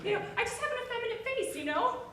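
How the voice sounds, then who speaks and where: A woman's voice: extremely high pitch, lively intonation, very fast, a loud crowd. A woman playing an awkward, nervous new male priest, fumbling and chatty, in live improv comedy. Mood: flustered. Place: university stage, live. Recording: echoey hall, mic from far off, loud noise.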